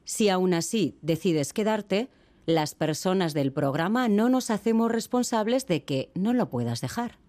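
A woman's voice: mid-range voice, expressive, a little slow, dark tone, slight background noise. A woman reading scripted radio introductions and narration as an announcer. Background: background music.

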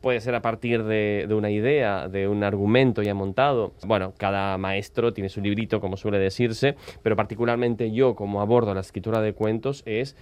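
A man's voice: lowish voice, steady tone, moderate pace, few pauses, a little mellow, clean tone. A middle-aged man, a writer talking reflectively in an interview, often checking in with little tag questions. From Argentina. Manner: thoughtful, often seeking confirmation with tag questions. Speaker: writer being interviewed, writing teacher.

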